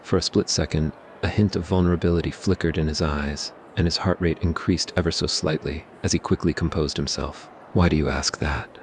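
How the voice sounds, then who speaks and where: A man narrating a scripted ASMR roleplay story in a measured, even way. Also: rumbling low, slight background noise.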